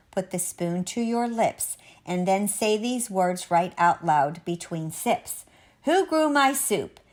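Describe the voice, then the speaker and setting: Unhurried woman reading a children's story. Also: fairly low pitch. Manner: measured, dramatic, sing-song emphasis. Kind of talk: expressive rhyming story read-aloud.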